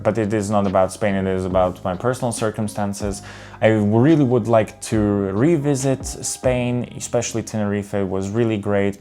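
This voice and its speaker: A man's voice: fairly low pitch, a little mellow. A young man from Serbia, talking candidly and a bit ramblingly about his travels in a casual vlog. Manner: candid, rambling with restarts and fillers. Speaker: travel vlogger. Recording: faint guitar music behind.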